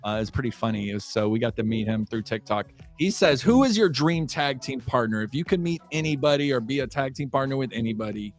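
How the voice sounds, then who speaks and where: A man's voice: mid-range voice, fast talker, hardly any pauses, soft background music. A man hosting a casual livestream, upbeat and reading out viewer questions. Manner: upbeat, reads viewer comments aloud. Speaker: livestream host.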